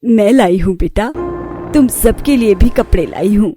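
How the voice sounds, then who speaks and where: Cartoon role: actress as timid elderly mother-in-law, slow, mellow delivery, pleading and long-suffering. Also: medium pitch, soft background music, lightly acted. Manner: pleading, timid, gentle-worded. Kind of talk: Hindi cartoon story acting.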